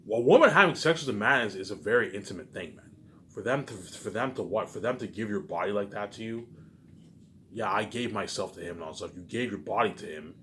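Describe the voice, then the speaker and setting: Low-pitched man, rambling over background noise. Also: lively intonation, quick pace, fairly bright tone. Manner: repetitive, with emphatic bursts trailing into muttered tags; frequent swearing. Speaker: vlogger. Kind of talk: rambling casual monologue. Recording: clearly noisy background.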